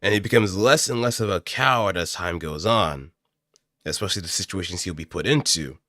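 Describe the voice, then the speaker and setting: A man with a low voice that still has a bright, forward edge. He talks in an off-the-cuff, explanatory way, musing aloud as he works through ideas. The recording is close and very dry. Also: brisk, no room sound. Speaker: amateur voice actor. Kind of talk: casual improvised explanatory commentary. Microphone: close studio microphone through an audio interface.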